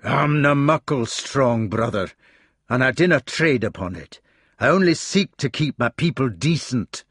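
Gruff burly aging knight, as a man puts him on, hoarse, Scottish accent, very dry sound. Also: medium pitch, expressive, a little slow, fairly bright tone, no echo, pitched above the performer's own voice. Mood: dignified.

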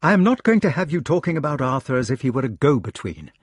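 A male narrator puts on a storybook lady, sensible and sharp-tempered, no longer young. The voice is lifted high and husky, firm and plaintive as she reproves her lover. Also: sing-song, measured pace, dry recording, studio quality, full character voice. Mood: angry, hurt. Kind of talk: audiobook character dialogue.

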